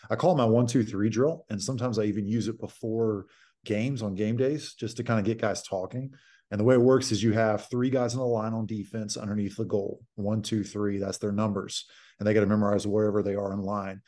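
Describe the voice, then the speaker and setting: A man's voice: lowish voice, fairly even intonation, fairly quick, warm tone. A man in his thirties, a basketball coach, explaining a practice drill plainly over a video call. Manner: plain, explanatory, many fillers. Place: home office indoors. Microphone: video call webcam.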